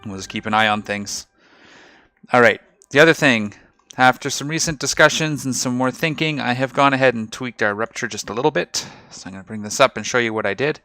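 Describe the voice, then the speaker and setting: A man hosting a casual game video, talking through his ship setup with a slightly rough, hoarse voice. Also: mid-range voice, fairly quick, dry recording. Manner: relaxed, rambling, explains ship fittings. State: slightly rough voice after a night of singing. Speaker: gaming channel host. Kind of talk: casual gameplay commentary.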